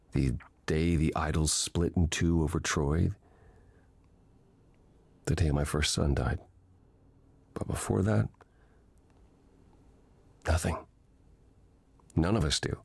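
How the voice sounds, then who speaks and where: Deep, gentle, ancient god. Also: male voice, vocal fry, dry recording, exaggerated voice. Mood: wistful. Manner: measured, reflective, explanatory. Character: ancient weary god reborn in a man, gentle patient mentor. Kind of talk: video game voice acting.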